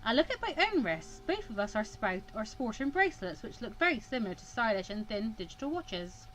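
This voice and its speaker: A woman's voice: fairly high pitch, big pitch swings, fairly quick, fairly bright tone, slight background noise, low-quality recording. A woman hosting a casual let's play, reading a visual novel aloud and often tripping over words and correcting herself. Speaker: gaming video host.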